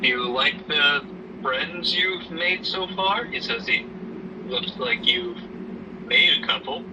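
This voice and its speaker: A protective, caring dad voiced by a man talks slowly and tentatively at a middling pitch, gently probing as in a homemade audio drama. He sounds a little off-mic, with clear rumbling noise around him. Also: far from the mic. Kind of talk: amateur audio drama acting, naturalistic.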